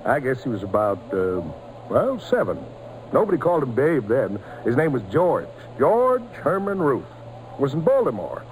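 A man's voice: medium pitch, wildly swooping pitch, moderate pace, a little mellow, soft background music. A man playing a friendly, folksy baseball fan telling tales to a boy in a vintage radio drama. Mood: nostalgic storytelling. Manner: folksy, chuckling, paternal. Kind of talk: 1940s radio acting, storytelling. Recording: old noisy radio broadcast recording.

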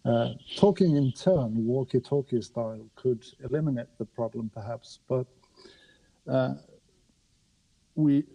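A man hosting a conversational podcast on a mobile phone, courteous and halting, with rather formal wording. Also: mid-range voice, gravelly, dark tone, poor audio. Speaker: podcast host. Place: remote conversation over a podcast app on a mobile.

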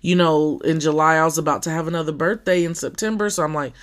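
Podcast host, scratchy and somewhat deep, halting conversational delivery. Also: steady tone. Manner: halting, with many fillers and restarts. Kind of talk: casual personal monologue.

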